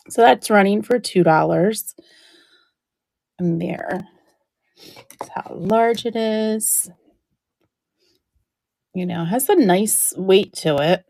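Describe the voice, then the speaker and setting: A woman running a live-stream auction, chatting warmly about each item while she loses her voice and keeps clearing her throat. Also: medium pitch, very slow, pauses often, no echo. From New Jersey. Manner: chatty, describing items up close.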